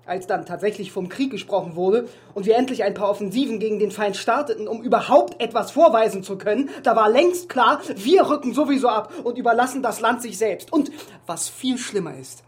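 An actor playing a bitter, defiant soldier in a radio drama, speaking with indignant, sardonic force. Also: male voice, high voice, lightly acted.

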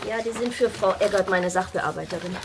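A polite, wry midwife who narrates her muddled tax troubles , as an actress plays her. Her voice is mid-pitched, with noise underneath. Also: fairly quick, close to an everyday voice. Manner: wry, slightly scattered.

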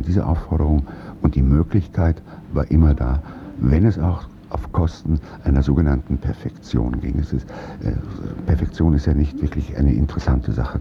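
A man's voice: extremely low pitch, vocal fry, muffled recording, background noise. A man who works as a stage actor, reminiscing in an interview in a thoughtful, hesitant, searching manner.

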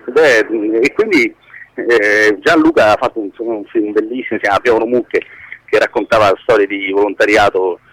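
A man's voice: on the low side, fairly bright tone, no echo, low-quality recording. A man speaking as an interview guest in an informal, hesitant way, repeating words as he searches for the next. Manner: hesitant, halting, repeats words, casually profane.

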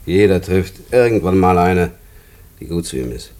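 An actor in a German radio play playing a calm, paternal prison inspector with a measured, probing manner. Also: male voice, low voice. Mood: concerned, probing. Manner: measured, probing, matter-of-fact.

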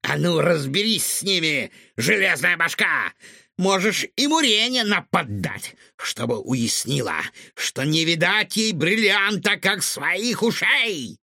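A man voicing a bossy male villain who barks orders in a raised, high voice. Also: big pitch swings, takes it slowly, creaky, sharp tone, dry recording, studio quality, heavily acted. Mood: commanding. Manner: barking commands. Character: bossy blaster-waving male villain doctor.

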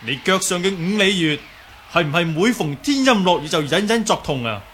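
Polite, learned young martial hero, higher-pitched. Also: male voice, expressive, brisk, fairly bright tone, slight background noise. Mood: calm, diagnostic. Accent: Cantonese. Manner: polite, humble, explanatory. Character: calm, learned young martial artist with healing knowledge. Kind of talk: radio drama acting.

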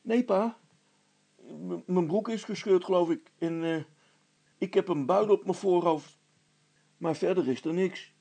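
A man voicing an eager, level-headed boy, the son of a handyman, in a storybook, in a matter-of-fact, high-pitched voice. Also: unhurried, creaky, pauses often, warm tone, exaggerated voice.

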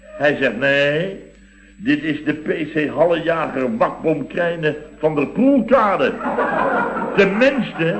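A man's voice: on the high side, expressive, takes it slowly, noisy background. An actor playing a chatty, gushing, teasing boss of a building firm in a radio comedy, effusive and theatrical, repeating his words. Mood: comic storytelling. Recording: dull, muffled vintage radio recording.

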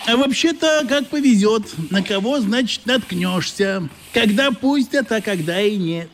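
Folksy rural station man, strikingly high voice, drawling and teasing, radio drama. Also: expressive, a little slow, slight background noise. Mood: wry, folksy. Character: folksy, unhurried rural railway station local. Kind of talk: radio play acting.

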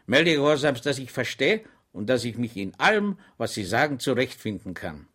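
A man's voice: medium pitch, sing-song, normal speed, fairly bright tone, slightly rough. Man doing a guileless simple soldier. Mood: meek. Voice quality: innocent, earnest. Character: simple-minded good soldier, cheerful, meek.

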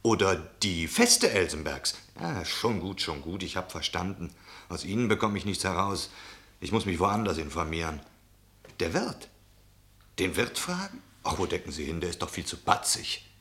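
A man's voice: low-pitched, big pitch swings, normal speed, a bit bright, exaggerated voice. An actor playing a talkative, nosy, self-important travelling salesman in a German radio play. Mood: nosy, musing. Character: chatty, pushy, cocksure travelling salesman in knitwear.

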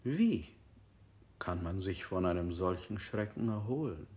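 An actor playing a calm male interrogator in a 1960s radio play. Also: deep voice, a little slow. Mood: probing. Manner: calm, probing questions. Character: cold male interrogator, middle-aged.

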